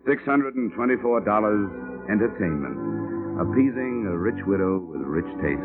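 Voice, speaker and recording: An actor playing a hardboiled, wisecracking insurance investigator, narrating in clipped, wry old-time radio detective style. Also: male voice, fairly low pitch, lively intonation, normal speed, close to an everyday voice. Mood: dryly sardonic. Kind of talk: 1950s radio detective acting, first-person narration. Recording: old phone-like radio recording with music behind.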